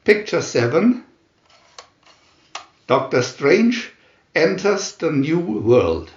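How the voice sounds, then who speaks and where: Man, dramatic caption narration, lively swooping pitch, announcer-like, long pauses, roomy and slightly dull recording. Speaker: narrator reading View-Master booklet captions. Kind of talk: dramatic scripted narration of comic book captions.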